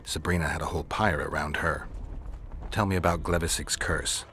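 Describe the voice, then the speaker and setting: Gruff sardonic warrior for hire, very low gravelly voice, deadpan video game delivery, faint music behind. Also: male voice, normal speed. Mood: curt impatience. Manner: terse, dry, deadpan. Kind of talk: video game dialogue acting.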